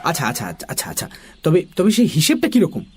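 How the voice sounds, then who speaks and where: A man narrating a dramatised children's story in an expressive way, acting out puzzled lines. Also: on the high side, fast talker, husky.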